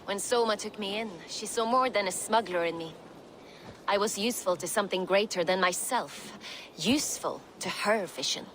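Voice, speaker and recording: A woman's voice: mid-range voice, moderate pace, a bit bright, slight background noise, natural voice. An actress playing a brash, cheerful Viking smuggler turned warrior woman in a video game, with a playful, earthy swagger. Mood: candid, fond. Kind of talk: video game cutscene acting.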